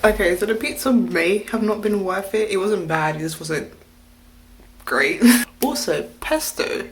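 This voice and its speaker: A young adult from the United Kingdom, chatting casually to camera and rambling with lots of fillers and quick laughs. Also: mid-range voice, over music. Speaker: vlogger. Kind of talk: casual vlog chat. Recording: roomy echo.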